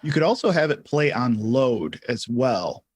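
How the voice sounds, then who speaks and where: A man's voice: medium pitch, very animated, measured pace, husky, dry recording. A man co-hosting a tutorial livestream, explaining features and answering questions in a casual, conversational way.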